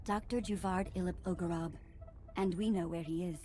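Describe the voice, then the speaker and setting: Actress as practical female mission briefer, mellow, lowish, businesslike, sci-fi game. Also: fairly even intonation, brisk, natural voice. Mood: composed, practical. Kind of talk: game cutscene acting.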